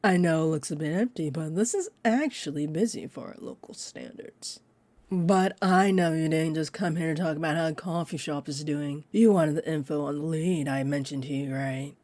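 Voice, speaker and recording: A woman's voice: sits low, darkish tone, dry recording, lightly acted. An actress playing a teasing, possessive female vampire in an audio roleplay, condescending and confident, speaking straight to the listener. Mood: polite, sly. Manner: smug, taunting, unhurried.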